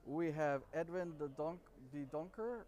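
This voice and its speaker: A man in his forties with a slightly high voice co-hosts a loose, jokey chat. He trips over names as he reads from a prompter. He is recorded close to a camera set on a table in an open-air bar courtyard. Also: unhurried, darkish tone. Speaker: podcast co-host. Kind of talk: casual chat reading from a prompter. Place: outdoor courtyard of a city bar.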